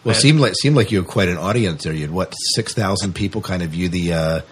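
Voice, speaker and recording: Podcast host guy, deep voice w some husk to it, casual interview chat. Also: fast, husky.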